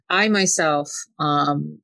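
A woman's voice: low-pitched, creaky, a bit bright, no room sound. A woman from Ukraine talking as a spiritual channeler and interview guest, often dropping in "you know" as she goes. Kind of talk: spiritual conversation.

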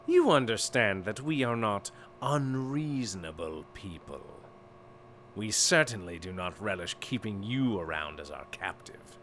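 Slow, bright-voiced genteel captor. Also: male voice, lowish voice, lively intonation, a little hiss or hum. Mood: mock-polite disdain. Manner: genteel, wordy, condescending. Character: suave, mock-polite male captor, drily disdainful. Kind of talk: audio drama acting.

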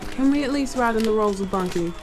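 A woman playing a dutiful young assistant, polite and cautious, gently pressing her employer with dry deference. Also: a little high, fast talker, music in the background, natural voice. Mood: dutiful worried.

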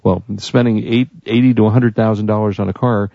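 A man's voice: fairly low pitch, fairly even intonation, brisk, vocal fry, no echo. An American man hosting a talk show, chatting casually about news in a rambling, conversational way. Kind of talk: casual tech and consumer news chat. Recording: dull lo-fi audio.

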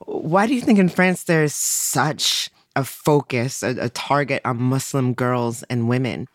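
A woman's voice: rumbling low, measured pace, dry recording. Dry-sounding woman hosting an interview. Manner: conversational, affirming, leads with context. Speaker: guest host. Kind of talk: interview questions.

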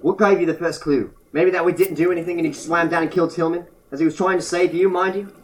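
Sarcastic, exasperated diver played by a male actor, rapid delivery, audio drama, anxious to escape. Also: on the high side, fairly even intonation, slight background noise, close to an everyday voice, low-quality recording. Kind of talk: amateur audio drama acting.

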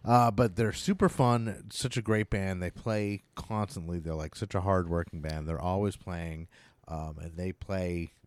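A man's voice: low voice, steady tone, measured pace, smooth. A man hosting a podcast, chatting casually and enthusiastically in an informal way, as a musician in a band. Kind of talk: casual chat about local bands.

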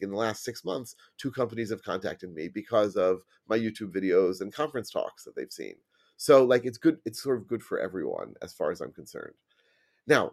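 Low-voiced American man, chatting emphatically. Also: a little mellow. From United States. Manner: emphatic, repeats words for stress. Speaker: podcast guest, programming trainer. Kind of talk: casual conversational interview.

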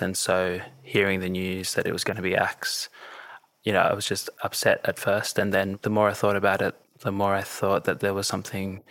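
A man, a journalist guest explaining a story in a measured, thoughtful podcast conversation. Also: sits low, a bit bright, no echo.

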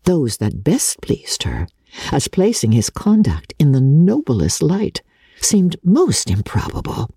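A woman narrating a classic novel in a measured, theatrical, expressive way. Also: deep voice, slightly rough, no room sound, studio quality. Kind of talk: dramatic literary narration.

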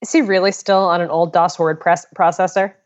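Easygoing lower-voiced woman podcasting. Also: steady tone, a little fast, muffled recording. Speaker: podcast co-host. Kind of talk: casual chat. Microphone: portable mic plugged into a phone.

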